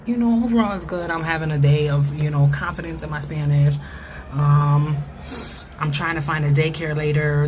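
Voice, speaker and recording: American woman, low-pitched voice, casual vlog chat, slangy and conversational, clearly noisy ambient background. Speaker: vlogger. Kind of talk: casual chatty vlog monologue.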